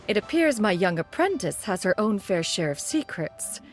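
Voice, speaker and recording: A woman's voice: mid-range voice, very animated, unhurried, soft background music. A woman playing a proud, dignified mentor in an anime game, speaking in a formal, stately way. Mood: amused, composed. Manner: formal, stately, archaic phrasing. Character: dignified, proud immortal woman mentor, haughty yet caring.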